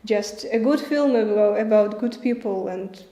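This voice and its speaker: A young woman, a film director answering questions in a halting way, searching for words with many fillers. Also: mid-range voice, mellow. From Ukraine. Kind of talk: festival Q&A answers. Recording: roomy with faint music behind.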